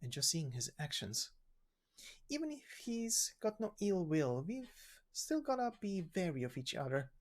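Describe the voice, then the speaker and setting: A man's voice: high-pitched, sing-song, unhurried. Man as a blunt, wary fighter, matter-of-fact. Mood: suspicious. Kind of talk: video game story voice acting.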